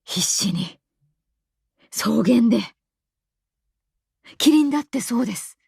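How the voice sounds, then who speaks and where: A man's voice: very high-pitched, expressive, slow pace, creaky, a little mellow, breathy edge, no echo, studio quality, voice pushed up, exaggerated voice. A woman reading the part of an earnest, awkward, polite man in a radio drama. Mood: earnest, passionate. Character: earnest awkward man passionate about animals, hesitant in love.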